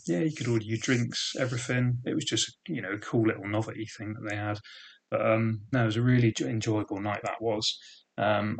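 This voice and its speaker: Gravelly, husky man with thick regional accent. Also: fairly low pitch, a bit bright. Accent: regional British, thick. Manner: rambling, full of "sort of" and "um" fillers. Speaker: vlogger. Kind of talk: casual reminiscing monologue.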